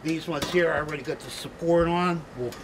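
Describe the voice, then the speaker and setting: A man's voice: on the high side, brisk, heavy background noise. A middle-aged man working at a factory bench, explaining his work plainly and matter-of-factly on a tour. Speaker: factory worker. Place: large factory workshop, indoors. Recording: loud workshop clatter behind.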